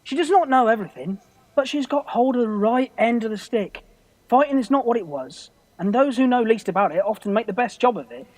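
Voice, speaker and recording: A man's voice: very high voice, lively intonation, fairly quick, darkish tone, rough recording. A man playing a good-humoured, frank veteran soldier in a radio play, with a wry manner. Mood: wry, approving.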